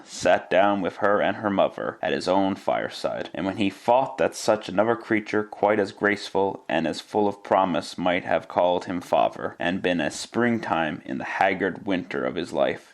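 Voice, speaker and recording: A man narrating a scripted story in the style of classic radio drama. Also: deep voice.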